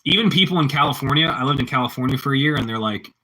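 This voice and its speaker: Bright-voiced man with a Pittsburgh accent. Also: medium pitch, lively intonation, racing, slight background noise, low-quality recording. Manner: rambling, repeats himself, talks back to chat. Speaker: livestreamer chatting with viewers. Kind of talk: casual live-stream chat.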